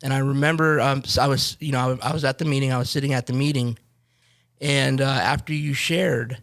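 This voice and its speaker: A man's voice: medium pitch, steady tone, fairly quick, gravelly, a bit bright. A man in his forties hosting a recovery podcast, friendly and rambling, often restarting his sentences. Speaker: podcast host. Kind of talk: casual interview.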